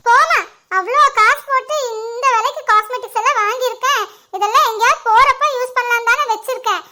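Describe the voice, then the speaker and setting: A woman's voice: very high-pitched, fairly quick, bright, forceful tone, slight background noise, exaggerated voice. A woman doing a giggly vain young daughter. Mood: chatty. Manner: playful, giggly, chattering. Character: vain young woman, fussy about makeup. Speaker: daughter in a family skit.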